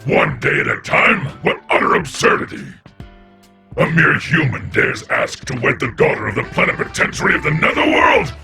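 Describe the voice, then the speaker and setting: Gravelly bombastic demon dad, harsh bright voice, swings up and down, game cutscene, faint music. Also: male voice, a little high, big pitch swings, normal speed, full character voice. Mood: outraged. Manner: bombastic, archaic speech. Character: pompous demon father, older, blustering. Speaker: game character.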